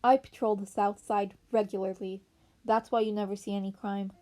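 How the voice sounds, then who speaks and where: A woman's voice: mid-range voice, flat delivery, moderate pace, warm tone, faint music behind, lightly acted. A woman voicing a confident, matter-of-fact young male vigilante in a story reading. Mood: assured.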